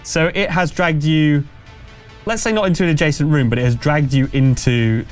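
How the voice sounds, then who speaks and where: A man's voice: fairly high pitch, moderate pace. A man acting as game master, narrating a tabletop game in a conversational, slightly hesitant way while explaining what happens. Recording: music playing under the voice. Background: background music.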